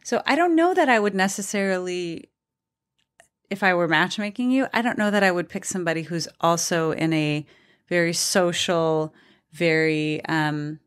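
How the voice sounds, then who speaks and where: A woman's voice: lowish voice, normal speed, clean tone. A woman in her forties giving frank relationship advice in a casual podcast conversation. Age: 40s. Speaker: relationship expert guest.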